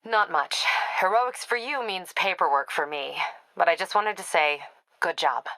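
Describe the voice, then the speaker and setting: Video game police captain, lowish bright voice, clipped, businesslike, dry wit, dead-dry close recording. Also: female voice, lively intonation, moderate pace, no room sound, rough recording. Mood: brisk, dry. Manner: clipped, businesslike, dryly wry. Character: no-nonsense female police captain, brisk, dry, weary ally. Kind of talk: video game voice acting, radio dispatch briefings.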